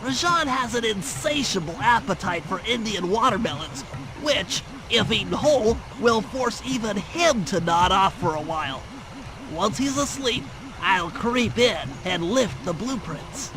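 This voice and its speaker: A man's voice: high voice, lively intonation, unhurried, poor audio. A man voicing a brainy, fussy turtle who plans missions in a video game, speaking in precise, wordy explanations. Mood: confident briefing. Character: brainy, fussy nerdy planner turtle, proud strategist. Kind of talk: video game cutscene acting.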